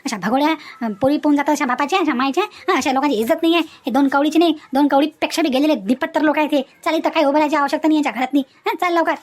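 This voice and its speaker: An outraged middle-aged mother of a groom, acted by a woman, speaking extremely fast and very high. She harangues and taunts with open contempt in a Varhadi Marathi accent, as in a cartoon family drama. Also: natural voice. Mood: scornful, outraged. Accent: Varhadi Marathi, Vidarbha. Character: groom's scornful, domineering middle-aged mother, indignant and insulting.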